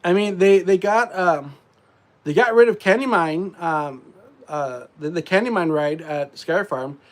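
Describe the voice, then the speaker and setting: A congested man rambling casually on a live stream, full of fillers and frequent throat clearing. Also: sits high, measured pace.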